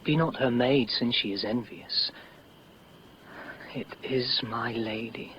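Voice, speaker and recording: An actor playing an ardent, dreamy young nobleman in love, with measured, breathy poetic delivery in 1950s radio style. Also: mid-range voice, steady tone, natural voice. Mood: rapt adoration. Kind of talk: 1950s radio Shakespeare acting. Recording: dull old radio recording, faint noise.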